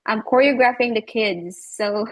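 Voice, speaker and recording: A woman's voice: on the high side, measured pace, low-quality recording. A woman with a Filipino accent chatting casually on a video call, rambling and repeating herself with lots of filler words. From Philippines. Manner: rambling, repetitive, full of "like" and "you know". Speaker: teacher and interview guest.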